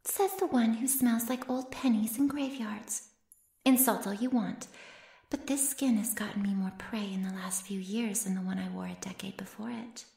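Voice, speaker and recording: A woman's voice: mid-range voice, fairly even intonation, moderate pace, gravelly, dark tone, echoey room, close to an everyday voice. A woman voicing a sly, haughty flesh-eating female monster in an ASMR roleplay, mocking and mock-innocent as she savors her words. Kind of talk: ASMR roleplay audio drama.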